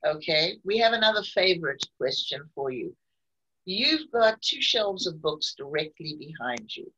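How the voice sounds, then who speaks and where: A woman's voice: sits low, big pitch swings, moderate pace, pushed and bright, slight background noise. A woman in her sixties, hosting an online author interview and asking questions in a chatty, enthusiastic way. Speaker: interviewer host. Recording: dull lo-fi call audio.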